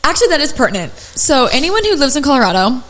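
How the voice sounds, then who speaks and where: A young adult woman with a raspy voice, hosting a podcast and telling stories in casual chat full of fillers and restarts. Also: sits high, big pitch swings, quick pace, a bit bright. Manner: chatty storyteller, many fillers and restarts. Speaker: podcast host.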